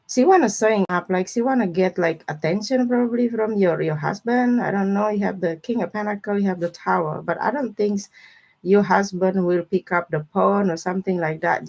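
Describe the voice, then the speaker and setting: A woman's voice: on the low side, a little mellow, rough recording. A woman with informal, non-native English phrasing, chatting casually with viewers as she gives a live tarot reading. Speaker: tarot reader hosting a live stream.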